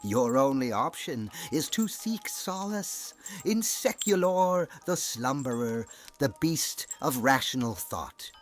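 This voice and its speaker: Menacing professor villain: slow, gloating, commanding, with wide melodic swings. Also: male voice, medium pitch, big pitch swings, faint music behind. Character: menacing male villain professor leading a torture. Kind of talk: audio drama acting.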